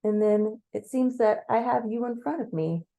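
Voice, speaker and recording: A woman's voice: a little high, normal speed, vocal fry, warm tone. A woman voicing a curious, polite traveler in a guided story, hesitant and often repeating words as they ask questions. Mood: polite, eager. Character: curious, hesitant, polite listener exploring a dream realm.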